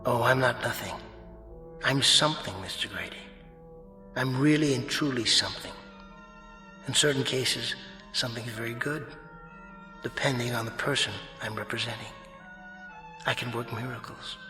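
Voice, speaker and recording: An actor playing an eloquent, sardonic spirit of fate in a radio drama, speaking in grandiose, wordy phrases. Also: male voice, medium pitch, normal speed, long pauses, bright, forceful tone, close to an everyday voice. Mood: lofty, grave. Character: eloquent, mocking male spirit of fate and conscience. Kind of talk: vintage-style radio drama acting. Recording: reverberant hall-like echo effect, faint music.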